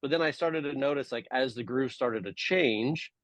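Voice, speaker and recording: The former pro athlete guesting on a sports podcast, a man with a medium voice unspooling his career haltingly into a dry, close recording. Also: medium pitch, fairly bright tone, no room sound. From Hawaii, United States. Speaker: podcast guest, former tour golfer and coach. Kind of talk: casual interview chat.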